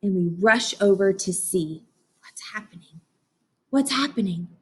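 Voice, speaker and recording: A woman reading a children's book aloud like a teacher, expressive and instructive. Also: mid-range voice, husky. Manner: expressive, instructive, with dramatic pauses and hushed asides. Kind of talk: children's read-aloud with explanations and questions to listeners.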